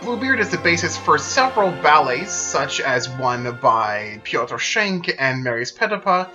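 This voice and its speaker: Male presenter, slightly high voice, steady scripted narration, soft music under it. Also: measured pace. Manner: steady explanatory retelling. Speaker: video presenter.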